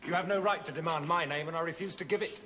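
An actor playing a stubborn, wily mutineer soldier, knowing and plain-spoken, in a period drama. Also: male voice, on the high side, quick pace, a bit bright, a little hiss or hum, lightly acted. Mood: defiant.

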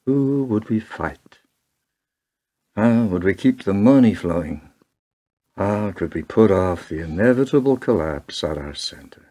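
A grave, brooding strategist of empire acted by a man delivers a radio play monologue. His voice sits fairly low, and he speaks in measured, rhetorical phrases. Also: animated, warm tone.

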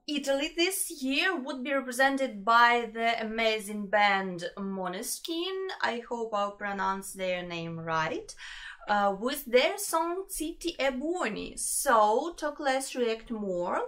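Bright high-voiced young Ukrainian woman. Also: expressive, slow. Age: young adult. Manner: enthusiastic, exclamatory. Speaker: reaction vlogger. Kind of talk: casual reaction commentary. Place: small indoor room.

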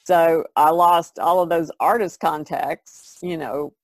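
A woman's voice: low voice, steady tone, slow, dry recording. A woman who is a podcast regular, chatting casually on a video call. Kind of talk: casual chat.

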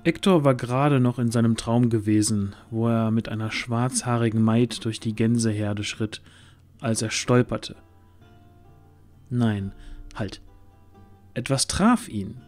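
A man's voice: mid-range voice, steady tone, measured pace, long pauses, darkish tone, soft background music. A man narrating a scripted fantasy story as the storyteller.

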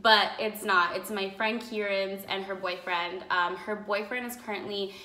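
Young woman rambling on about her trip, a bit raspy from a cough, bright voice, phone mic in a roomy apartment. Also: mid-range voice, fairly even intonation, normal speed. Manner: chatty and rambling, lots of "like" and "um". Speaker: vlogger. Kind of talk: casual chat to camera. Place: apartment living room, indoors. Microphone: phone camera in front, seated. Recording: echoey room on a phone mic.

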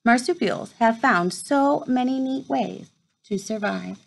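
A woman in her thirties with a fairly high voice reads a children's nature book aloud. Her phrasing is measured, as for storytime. She is indoors in a room at home, picked up by a device at about arm's length. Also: gravelly. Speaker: read-aloud presenter.

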